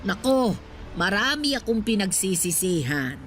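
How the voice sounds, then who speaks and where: A woman's voice: medium pitch, big pitch swings, a little slow, a little hiss or hum. An actress playing an elderly mother in a Tagalog radio drama, reminiscing and advising, poor but contented and loving. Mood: rueful. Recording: music behind the voice. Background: background music.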